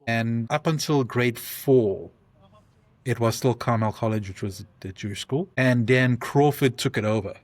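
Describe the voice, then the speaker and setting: A man's voice: medium pitch, dry recording. South African man, dry close sound. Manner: thoughtful, speaks in halting restarts with many ums. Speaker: podcast guest. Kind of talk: conversational interview.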